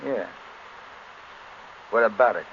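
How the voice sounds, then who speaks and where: Curt tough crook, muffled old recording. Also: male voice, lowish voice, takes it slowly. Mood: defiant, edgy. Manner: slangy tough-guy talk, curt. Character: tough, nervous small-time crook with a record. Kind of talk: 1930s radio crime drama acting. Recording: dull old radio recording with hiss.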